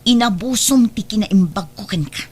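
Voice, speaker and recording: A woman's voice: medium pitch, animated, normal speed, a little mellow, husky. A woman acting a wronged, protective middle-aged mother in an Ilocano radio drama, speaking earnestly. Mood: reproachful. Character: wronged protective mother, firm, hurt and resolute. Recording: slightly noisy radio drama track.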